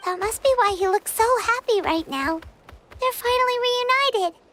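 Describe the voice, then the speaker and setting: A woman voicing a tiny, childlike, excitable fairy companion in a game, squeaky and wide-eyed. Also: moderate pace, a little hiss or hum. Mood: bright, relieved. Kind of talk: English game dub acting.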